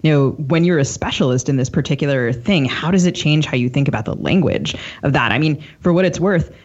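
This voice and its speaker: A woman co-hosting a podcast interview, speaking casually and thoughtfully in a halting, discursive, earnest way. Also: sits low, a little mellow, husky, muffled recording.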